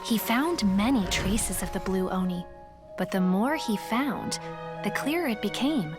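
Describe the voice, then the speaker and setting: A woman narrating a folk tale in a game in a calm, storybook way. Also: medium pitch, unhurried, natural voice. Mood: storytelling. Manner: calm, warm, once-upon-a-time telling. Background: game music.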